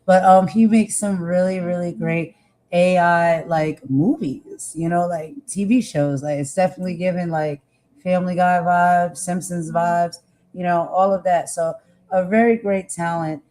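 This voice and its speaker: A woman host with a slightly raspy voice, chatting casually and loosely with lots of fillers and slang. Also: fairly low pitch, fairly even intonation, unhurried, mellow. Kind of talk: casual chat.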